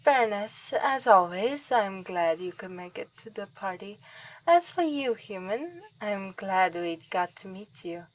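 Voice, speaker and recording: A woman's voice: mid-range voice, animated, a little slow, a little hiss or hum, close to an everyday voice, rough recording. A woman playing a refined, wry vampire princess in an ASMR roleplay, with dry and politely formal delivery. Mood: playful, gracious.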